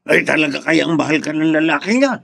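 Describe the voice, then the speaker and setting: A man's voice: a little high, lively intonation, brisk, gravelly, a bit bright, dry recording, clean studio sound, full character voice. An actor playing an elderly, suspicious father scolding in exasperation, in a Filipino radio drama with a Filipino accent. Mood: disapproving, skeptical. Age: older.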